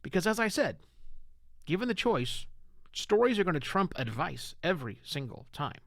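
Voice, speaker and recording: Animated middle-aged American podcast host, higher-pitched voice. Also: male voice, normal speed, vocal fry, no room sound, studio quality. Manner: animated, chuckling, self-deprecating asides. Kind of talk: outlined, conversational podcast monologue.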